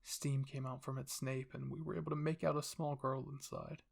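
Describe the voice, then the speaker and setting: A man's voice: mid-range voice, level tone, normal speed, creaky. A man voicing a cold, scheming royal father who commands and explains without remorse in an anime fan fiction reading. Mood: recounting.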